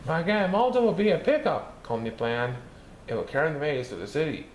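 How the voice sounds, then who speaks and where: A man reading a children's picture book aloud, lifting his voice high for the characters' lines. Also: a little fast. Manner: lively, raising his pitch for characters' lines. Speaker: storybook reader. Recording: echoey room with faint background noise.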